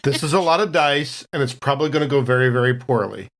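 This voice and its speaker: A man's voice: mid-range voice, lively intonation, fast, a bit bright. A man chatting casually as a player in a tabletop role-playing game, thinking aloud a little tentatively.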